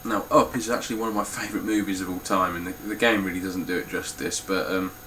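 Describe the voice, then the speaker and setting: A man's voice: sits low, steady tone, fast, bright, forceful tone, slight background noise. A man with a stuffy, nasal voice giving a casual, blunt spoken review of his game collection, with frequent ums and some swearing.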